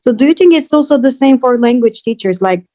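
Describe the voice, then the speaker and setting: Fast-talking woman. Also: sits high, lively intonation, dark tone. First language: Turkish. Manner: earnest, quick questioning. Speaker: audience member asking a question. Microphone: video call, camera off.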